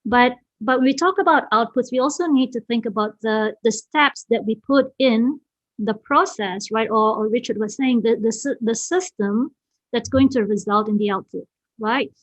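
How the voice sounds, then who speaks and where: Higher-voiced woman from Malaysia, presenting online. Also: dry recording. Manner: hesitant, repeats phrases, often checks in with "right?". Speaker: event host and speaker. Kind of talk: informal presentation to an online group. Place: online video meeting. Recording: dull, slightly lo-fi online call audio.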